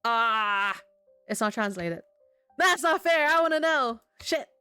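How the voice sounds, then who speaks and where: A woman with a fairly high voice plays a visual novel. She reads the dialogue aloud and adds casual reactions of her own, with some music playing behind her. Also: expressive, slow, pushed and bright, smooth, dry recording.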